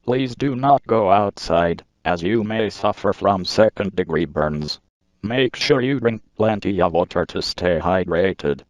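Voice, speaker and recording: A man's voice: low voice, animated, a little slow, no room sound, lo-fi sound. A mechanical text-to-speech man reading a scripted civil warning in a formal manner. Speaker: emergency alert announcer.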